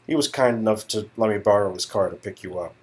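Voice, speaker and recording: A man's voice: lowish voice, quick pace, natural voice. A man playing a deferential, eager junior talent agent in a comic dialogue reading, polite and hesitant. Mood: meek, earnest.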